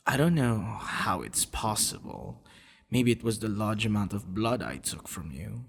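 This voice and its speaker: A man playing a doting, possessive vampire lover in an ASMR roleplay, talking tenderly and teasingly in a husky, gravelly voice. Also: on the low side, animated, unhurried, full character voice. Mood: earnest wonder. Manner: tender, soothing, teasing, intimate. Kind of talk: ASMR boyfriend roleplay acting.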